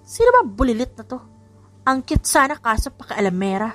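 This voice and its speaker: A woman's voice: fairly high pitch, very animated, fairly quick. A snide female student acted by a woman narrator in an audiobook. She comes across as entitled, mocking and haughty over background music.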